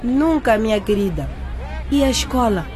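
A woman's voice: on the high side, lively intonation, moderate pace, darkish tone, some chatter behind, lightly acted. A woman playing a worldly, cynical, bossy teenage schoolgirl and best friend in a radio drama. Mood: persuasive, pushy.

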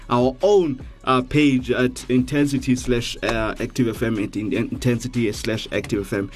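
A young South African man co-hosting a faith radio show, chatting casually in a halting way with lots of fillers and restarts. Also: medium pitch, darkish tone. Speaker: radio co-host.

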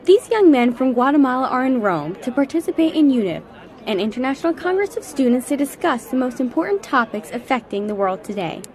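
Woman reporter, higher voice, animated scripted news read. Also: a little fast.

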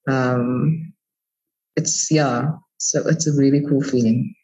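A woman's voice: rumbling low, steady tone, slow, warm tone. A woman chatting informally and encouragingly as a podcast guest, on slightly lo-fi audio. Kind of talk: casual conversational interview.

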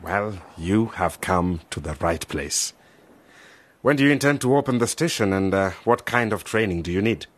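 Low-pitched, genial institute director. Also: male voice, lively intonation, moderate pace. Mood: welcoming. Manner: formal, patient, explanatory. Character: genial, helpful male director of a journalism institute. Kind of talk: radio drama acting.

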